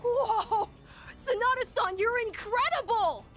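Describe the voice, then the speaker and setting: Very high-pitched, eager little boy. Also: slow pace, full character voice. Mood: thrilled. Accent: American. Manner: excitable, earnest. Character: eager, polite young boy, easily excited. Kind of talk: video game voice acting. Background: game music.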